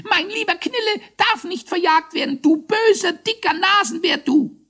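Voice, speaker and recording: A man voicing a squeaky, indignant little hare who talks like a defiant child in a children's story. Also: animated, normal speed, vocal fry, pushed and bright, full character voice. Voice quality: squeaky, squawking.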